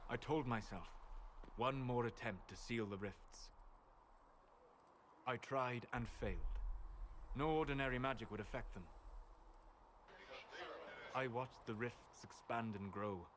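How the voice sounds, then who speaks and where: An actor playing a calm, scholarly mage in a video game, speaking in measured, formal, reflective phrases. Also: male voice, mid-range voice, a little hiss or hum. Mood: quiet wonder. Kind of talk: scripted video game dialogue.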